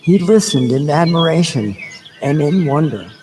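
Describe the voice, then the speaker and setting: A man reading a novel aloud as a narrator, in a measured, dramatic audiobook style with deliberate pauses between phrases. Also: on the high side, animated, a little mellow, a little hiss or hum, rough recording.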